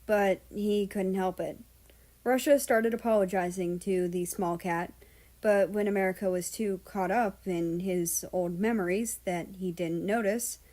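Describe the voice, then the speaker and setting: A woman's voice: medium pitch, a little mellow. A woman reading a third-person fan fiction story aloud as a narrator.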